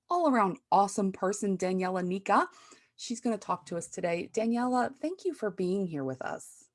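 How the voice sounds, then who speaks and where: Upbeat lady cohosting a marketing podcast, light laughs. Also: medium pitch. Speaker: podcast co-host. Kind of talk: scripted podcast intro and interview.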